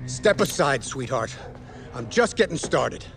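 A man playing a corrupt police officer in a video game, mocking and unhurried as he threatens people. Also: mid-range voice, very animated, vocal fry, fairly bright tone, heavily acted. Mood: mocking menace. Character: menacing, smug corrupt cop. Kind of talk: video game cutscene acting. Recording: music behind the voice.

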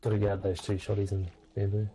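Male gamer giving short callouts, low-pitched and fast. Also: flat delivery, mellow, slightly rough, faint music behind, low-quality recording. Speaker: team player. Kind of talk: quick gaming team callouts. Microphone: gaming voice chat microphone.

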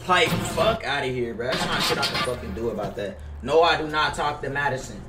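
A man's voice: medium pitch, lively intonation, fairly quick, roomy sound, far from the mic, loud music behind the voice. A young American man in his twenties chatting casually on a gaming livestream, profane, slangy and repetitive in his griping. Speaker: game streamer. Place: indoor home gaming room. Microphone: headset mic.

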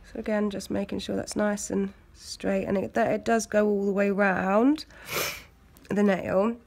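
A woman with an English accent, hoarse from a cold, chatting casually as she talks through a nail art tutorial. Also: mid-range voice, little pitch movement, a little slow, a little mellow. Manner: chatty, loose, lots of fillers. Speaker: nail technician. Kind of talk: step-by-step tutorial narration.

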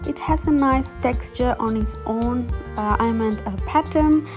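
A woman in her thirties talks through a home craft project in a friendly, chatty way, pausing on filler words. Her voice sits a little high. Clear background music runs under the whole recording. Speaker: DIY vlogger. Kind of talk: casual vlog narration. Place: home, indoors, with a toddler on her lap. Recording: music playing behind her voice.

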